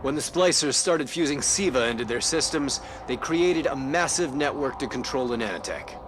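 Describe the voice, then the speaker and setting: A man's voice: medium pitch, expressive, moderate pace, background noise, natural voice. A man acting as a focused scout officer in a cinematic game, giving a briefing in a matter-of-fact way. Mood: focused, confident.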